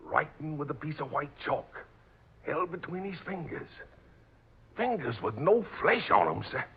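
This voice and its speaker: An actor playing an agitated, superstitious but deferential sailor with a rustic seafaring dialect in an old radio drama. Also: male voice, fairly high pitch, sing-song, unhurried, breathy edge, exaggerated voice. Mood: shaken, insistent. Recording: dull, muffled old radio recording.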